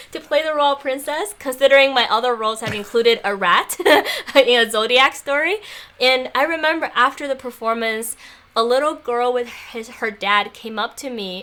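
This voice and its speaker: A young woman talking in a casual interview, thoughtful and warm, laughing often and using plenty of fillers. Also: fairly high pitch. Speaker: guest, former apprentice. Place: makeshift home studio on an island.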